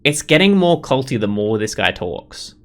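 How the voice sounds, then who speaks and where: A man's voice: medium pitch, big pitch swings, moderate pace. A man giving casual let's play commentary, opinionated and rambling as he thinks aloud. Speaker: gaming video host.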